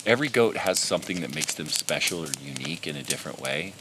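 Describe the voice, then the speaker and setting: A man's voice: rumbling low, expressive, fast talker, background noise. A man who guides pack goats, talking earnestly and a little rambling about his goats and his time in the backcountry. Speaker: pack goat guide and channel host. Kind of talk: casual talk to camera on the trail. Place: outdoors in alpine backcountry.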